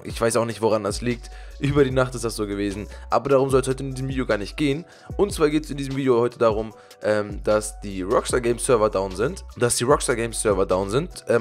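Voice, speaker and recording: A young man with a cold-roughened, lowered voice chatting casually to his viewers over gameplay, with frequent fillers. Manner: fast, chatty, many fillers. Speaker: gaming YouTuber.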